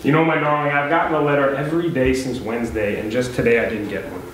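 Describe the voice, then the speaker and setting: A man at a poetry night reads a letter aloud in an easy, conversational way. His middle-pitched voice rings through a very reverberant room. Faint ambient noise sits behind him. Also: fairly even intonation, fast, fairly bright tone. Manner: reads with offhand chatty asides. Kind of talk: reading a letter aloud to a live audience. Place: live poetry event in a beach house venue.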